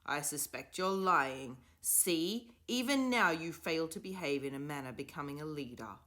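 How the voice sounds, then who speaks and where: Woman reading a stern storybook professor turning to angry shouting; loud, bright, animated. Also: sits low, normal speed. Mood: cold, stern. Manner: clipped, then shouting in rage. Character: stern male professor, head of an academy, erupting in fury.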